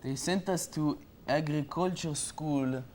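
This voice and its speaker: A man playing a sixty-year-old self-help guru, answering in a halting, rambling way full of fillers. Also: on the high side, a little mellow, a little hiss or hum. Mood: deadpan. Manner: halting, hesitant, full of uh and well you see. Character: rambling eccentric sixty-year-old male life coach. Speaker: mock guest life coach. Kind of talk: improvised comic interview.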